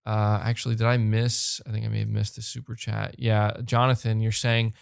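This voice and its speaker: A young man hosting a live podcast, talking fast and animated, repeating words when fired up and losing his voice. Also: on the low side, gravelly, clear, resonant tone, no echo, studio quality. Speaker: podcast host reading super chats. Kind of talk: excited live-stream chat. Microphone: close desk mic.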